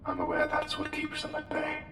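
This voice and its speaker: A man voicing an otherworldly, courtly plague doctor in an intimate ASMR roleplay, speaking formally and deliberately with hesitant pauses. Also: very high voice, wildly swooping pitch, pitched above the performer's own voice, exaggerated voice. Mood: slyly menacing. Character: courtly, intense male plague doctor, an otherworldly anomalous being. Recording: echoey hall reverb, music behind. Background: music.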